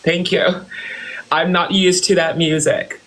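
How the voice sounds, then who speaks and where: A man's voice: on the high side, level tone, unhurried, fairly bright tone. A man playing a smug boss and CEO. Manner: condescending, calls others "dear" and "honey". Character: haughty self-styled boss and CEO, male. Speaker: livestream host in character. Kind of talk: improvised live-stream roleplay.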